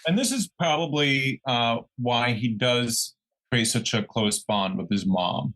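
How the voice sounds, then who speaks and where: A man co-hosting a podcast in casual true crime discussion, tentative and halting as he searches for words. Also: medium pitch, fairly bright tone, low-quality recording. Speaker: podcast co-host.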